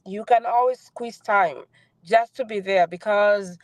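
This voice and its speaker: A woman's voice: mid-range voice, a little slow, no echo. A woman with a Kenyan accent chatting casually as a participant in a group call, speaking from inside a parked car. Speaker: participant in a group online meeting. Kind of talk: casual introduction and chat. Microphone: phone.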